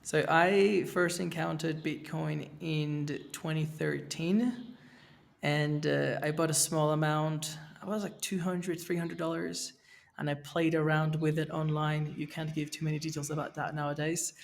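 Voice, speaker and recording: A man in his thirties from Venezuela, a podcast guest speaking in a relaxed way, with fillers and restarts. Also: fairly high pitch, unhurried, creaky. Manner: relaxed, with fillers and self-corrections. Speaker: guest, NFT project co-creator. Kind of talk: casual podcast interview. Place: Mexico, where he is now.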